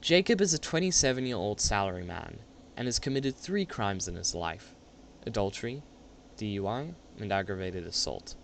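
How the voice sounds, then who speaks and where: A young man with a fairly low voice narrates an amateur radio play. He reads from a script in a dramatic storytelling style. There is slight noise behind him. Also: animated, normal speed, gravelly, hardly any pauses. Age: young adult.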